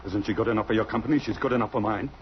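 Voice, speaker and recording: An actor playing an insolent, needling ship's mate in an old radio drama, with a blunt way of talking. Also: male voice, lowish voice, rapid-fire, natural voice. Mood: blunt, challenging. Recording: muffled old radio recording, clear noise.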